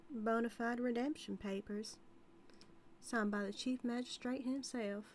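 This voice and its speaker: A woman's voice: on the high side, steady tone, unhurried, slight background noise, lightly acted. A woman playing a flirty, dominant outlaw cowgirl with a Southern US accent in a scripted audio roleplay, drawling and teasing. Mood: teasing, sly. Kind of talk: scripted audio roleplay, intimate character acting.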